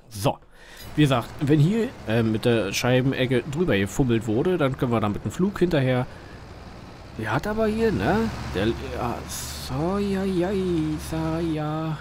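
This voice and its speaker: A man's voice: mid-range voice, a little mellow, a little hiss or hum. Chatty man with a Berlin dialect. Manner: chatty, rambling, thinks aloud with fillers. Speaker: gaming channel host. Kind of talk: casual gameplay commentary.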